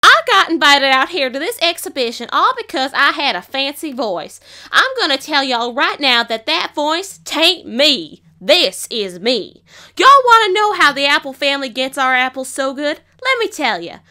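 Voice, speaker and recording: A woman doing a loud, drawling farm pony mare. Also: a little high, sing-song, fairly quick, clear, resonant tone. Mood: defiant. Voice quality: folksy country drawl. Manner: loud, fed-up ranting. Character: blunt orange farm pony mare, plainspoken and proud.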